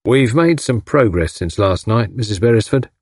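A man voicing a solemn police inspector in an audiobook, speaking in a courteous, firm, measured and official way. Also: lowish voice, expressive, a little mellow, breathy edge, no echo, studio quality. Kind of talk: audiobook character acting.

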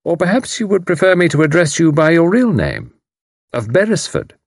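A man's voice: a little high, wildly swooping pitch, normal speed, gravelly, no room sound, studio quality, voice pushed up, full character voice. A man voicing a suave, mocking enemy commander who turns grimly threatening. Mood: mocking, smooth. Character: mocking menacing male enemy spy commander.

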